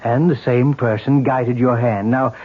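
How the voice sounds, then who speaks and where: An actor playing a calm, questioning psychiatrist in an old radio drama, with a husky voice. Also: male voice, mid-range voice, measured pace, close to an everyday voice. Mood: probing. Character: probing, calm male psychiatrist. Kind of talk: 1970s radio drama acting. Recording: muffled lo-fi old radio broadcast.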